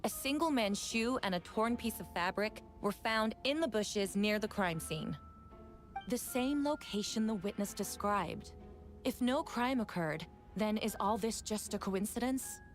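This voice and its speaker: An actress playing a stern female prosecutor in a video game courtroom, speaking in a formal, measured, deliberate way. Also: medium pitch, animated, faint music behind, close to an everyday voice. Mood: firm, measured.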